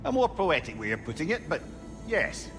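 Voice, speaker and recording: A man playing a wry, talkative older companion in a video game, with a gently teasing manner. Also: on the high side, sing-song, normal speed. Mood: dry. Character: wry, chatty, loyal older male companion, gently needling. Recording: loud game music under the voice.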